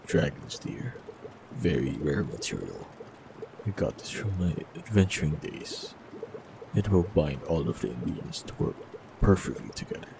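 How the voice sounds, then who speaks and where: A man voicing a warlock in an audio roleplay, talking calmly and reassuringly to the listener. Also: a bass voice, moderate pace, creaky, noisy background. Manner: calm, gentle, reassuring.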